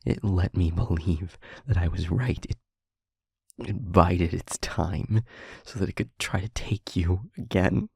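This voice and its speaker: A man playing a guilt-ridden demon lover in an intimate audio roleplay, speaking in a halting, breathy, confessional hush. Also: low voice, no echo, clean studio sound, exaggerated voice. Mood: remorseful, haunted. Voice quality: breathy, husky, whispery.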